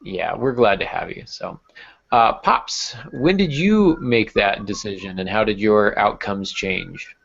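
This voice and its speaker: Gravelly low-voiced man, casual podcast chat. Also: expressive, a little slow, fairly bright tone. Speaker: podcast host and author. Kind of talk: casual conversational podcast talk. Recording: dull lo-fi audio.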